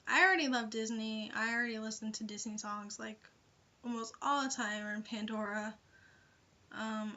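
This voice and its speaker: A young woman talks in a bright, slightly high voice, as if giving friendly tips to viewers of her vlog. Her delivery is casual and chatty, full of informal hedges. The sound is a bit dull and lo-fi, like a simple home recording. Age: young adult. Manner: informal, hedging, frequent "like" and "you know". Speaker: vlogger giving interview tips.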